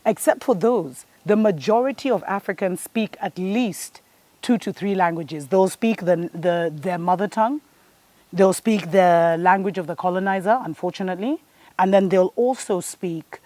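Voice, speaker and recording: A woman's voice: lowish voice, moderate pace, mellow, no room sound. A woman, hosting a spiritual show and giving an earnest, preachy monologue that speaks to her listeners as family. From Kenya.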